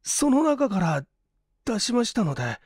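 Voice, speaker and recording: A man's voice: extremely high pitch, big pitch swings, measured pace, a little mellow, breathy edge, no room sound, clean studio sound, voice pushed up, exaggerated voice. A desperate young man voiced by a male narrator in a storybook reading. He pleads humbly and apologetically, his raised voice rising and falling sharply. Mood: nervous pleading.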